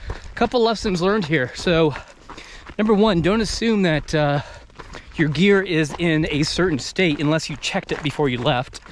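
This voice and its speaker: A man in his thirties talking casually to viewers as the host of an outdoor video channel, out in the open. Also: on the high side. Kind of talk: casual freestyle vlog talk to viewers. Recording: noisy outdoor field recording.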